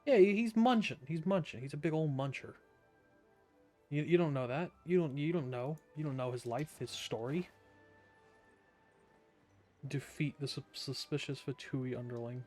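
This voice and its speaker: A woman's voice: low voice, normal speed, pauses often, dark tone. A young woman streaming a game, joking casually as she plays. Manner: playful, joking. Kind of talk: casual playthrough commentary. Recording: game music faint behind.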